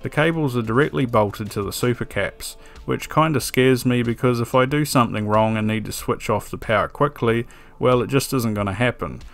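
A man giving a calm, methodical scripted voice-over for an electronics build tutorial, with a dry sense of humor. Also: medium pitch, normal speed, creaky, hardly any pauses. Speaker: electronics DIY video maker. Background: soft background music.

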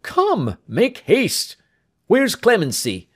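A man voicing a brisk, fussy elderly country doctor who calls out and demands things impatiently in a storybook reading. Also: high voice, wildly swooping pitch, breathy edge, voice pushed up, exaggerated voice. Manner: loud, impatient calling and demanding.

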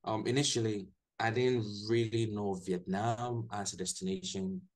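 A young man with a Ghanaian accent, a guest telling his story in a relaxed way on a video call from a small room, often restarting his sentences. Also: fairly low pitch, normal speed. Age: young adult. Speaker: guest, English teacher. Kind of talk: casual video-call interview.

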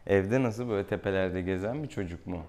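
Low, mellow man, playfully affectionate. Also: fairly quick. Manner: affectionate, teasing, sweet-talking the animal. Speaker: veterinarian presenting a clinic video. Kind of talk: casual playful chat with a pet and viewers. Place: small indoor veterinary exam room. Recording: clear room noise behind.

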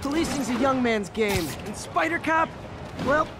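A man's voice: very high-pitched, lively intonation, normal speed, over music. A man with an American accent playing a young, eager, nervy masked superhero in a video game, quippy and mock-dramatic. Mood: joking. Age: young adult. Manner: quippy, mock-dramatic, stammers when flustered.

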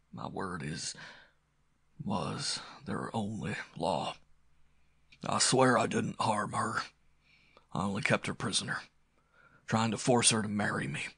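A man voicing a dying, bitterly proud male aristocrat villain in a pulp audiobook, with a strained, gasping croak that drops into breathy whispers. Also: on the low side, a bit bright, full character voice. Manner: halting, strained, melodramatic. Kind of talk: audiobook character acting of pulp story.

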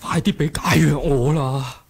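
A man's voice: on the high side, steady tone, a little slow, gravelly, breathy edge, heavily acted. A man playing a scheming, blustering old martial arts master in a Cantonese radio drama, exclamatory and theatrical. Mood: urgent. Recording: 1980s radio drama with crowd behind.

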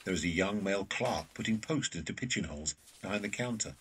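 A man's voice: sits low, lively intonation, fast talker, fairly bright tone, husky, far from the mic. A man reading scripted narration of scene directions for an audio drama.

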